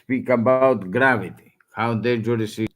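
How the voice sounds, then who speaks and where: A man whose first language is Greek, speaking broken English in a halting, urgent warning with many restarts. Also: medium pitch. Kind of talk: halting self-recorded warning.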